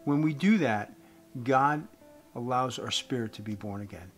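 A man in his fifties giving an earnest evangelistic talk to camera as a host, phrasing deliberately with emphasis. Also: mid-range voice. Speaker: host giving a faith message. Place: indoors, room with wooden beams. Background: guitar music.

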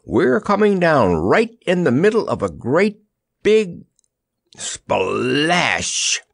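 A man as a hearty, high-pitched storybook wizard. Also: big pitch swings, unhurried, no room sound, clean studio sound, heavily acted. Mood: joyful. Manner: loud, hearty, calling out. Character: cheerful, gallant old wizard shouting optimistically.

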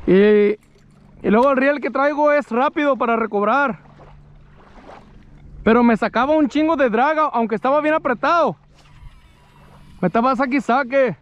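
A man's voice: very high voice, moderate pace, pauses often, lo-fi sound. A man in his thirties with a Mexican accent, chatting casually and colloquially with some swearing as he fishes outdoors on a lake shore. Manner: colloquial, swearing, repeats phrases. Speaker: angler filming his fishing outing. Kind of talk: casual fishing vlog chat.

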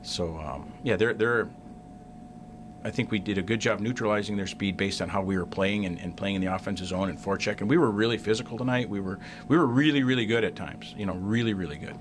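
A middle-aged hockey coach speaks into a desk mic at a press conference, his voice sitting on the low side. He talks in halting bursts, doubling words and restarting sentences. A faint rumble hangs under the room. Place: press room in front of a sponsor backdrop. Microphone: desk mic on a stand.

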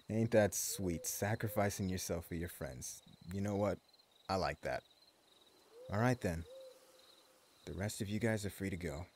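Man playing a post-apocalypse gang leader, very low voice, gravelly, taunting drawl, audio roleplay. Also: measured pace, long pauses, darkish tone, slight background noise. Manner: taunting, mock-friendly drawl. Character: menacing male post-apocalypse gang leader, smug and cruel. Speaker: voice actor.

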